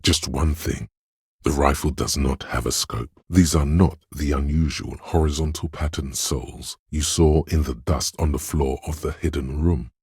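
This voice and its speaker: A man voicing a detective's coolly observant inner voice of visual reasoning in a video game, narrating in a measured, clinical, matter-of-fact way with a deep, gravelly tone. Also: darkish tone, no room sound, clean studio sound, full character voice. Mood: focused. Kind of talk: scripted second-person game narration.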